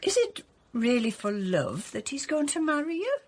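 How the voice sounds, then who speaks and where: A woman's voice: on the high side, sing-song, moderate pace. An actress playing an anxious, motherly older housekeeper in a period radio drama, hesitant and cautious. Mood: astonished. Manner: hesitant, fretful.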